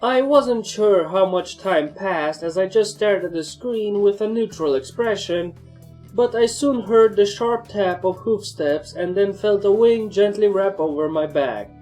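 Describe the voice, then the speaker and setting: A woman's voice: medium pitch, a little slow, mellow, faint music behind, low-quality recording. A woman reading first-person fan fiction as a scripted story narrator.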